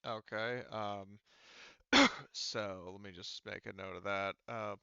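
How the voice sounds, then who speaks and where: Bright, clear-voiced man running a tabletop game. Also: on the low side, fairly even intonation, no room sound, highs cut off. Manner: halting, thinking aloud. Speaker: game master. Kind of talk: casual tabletop game narration and rulings.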